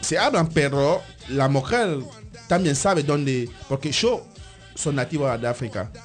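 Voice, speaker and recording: A man from Cameroon, a radio interview guest who talks in halting restarts and keeps checking that he is understood. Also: on the high side, expressive, faint music behind.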